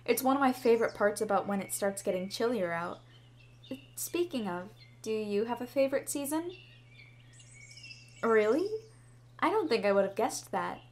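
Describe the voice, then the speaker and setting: A woman's voice: medium pitch, close to an everyday voice. A woman playing a shy, sweet schoolgirl with a crush in an ASMR roleplay, speaking in a bashful, hesitant, rambling way. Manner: bashful, hesitant, chatty, giggly. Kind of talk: ASMR roleplay, scripted to the listener. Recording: clearly audible background ambience noise.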